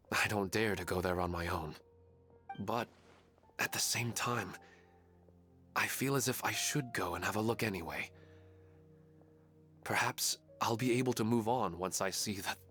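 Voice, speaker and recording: A man's voice: on the low side, fairly bright tone. A man voicing a grieving, hesitant widowed father in a video game, speaking in a sad, subdued way.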